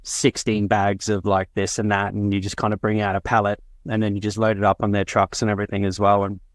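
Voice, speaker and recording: A man's voice: lowish voice, completely flat delivery, quick pace, dry recording, clean studio sound. A man co-hosting a podcast, chatting casually and rambling with lots of fillers. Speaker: podcast co-host. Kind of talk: casual chat.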